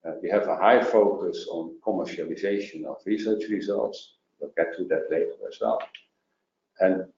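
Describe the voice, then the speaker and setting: Low-voiced man presenting, halting delivery. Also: a little mellow. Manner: halting, many fillers and restarts. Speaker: webinar presenter. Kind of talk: presenting slides in a webinar. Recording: roomy, dull lo-fi sound.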